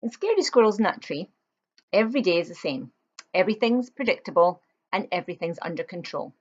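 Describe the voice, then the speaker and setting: Low-voiced woman reading a picture book, muffled recording. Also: brisk, husky. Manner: expressive, explanatory, addressing young listeners. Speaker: storyteller reading aloud. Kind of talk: read-aloud of a children's picture book with chatty asides.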